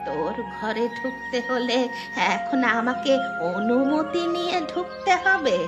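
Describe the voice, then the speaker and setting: A woman acts out an old village granny in a cartoon folk tale. She is kindly and motherly, quick to chide the young ones fondly. Music plays clearly behind her words. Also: on the high side, lively intonation, muffled recording. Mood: hurt, shocked. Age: older. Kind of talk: animated moral-story voice acting.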